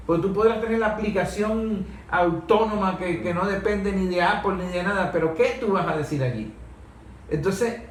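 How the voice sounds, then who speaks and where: A Venezuelan man discussing politics with opinions of his own, speaking emphatically and building up repetitive lists. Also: high-pitched. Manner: emphatic, repeats phrases in lists. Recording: echoey room, distant mic, faint noise.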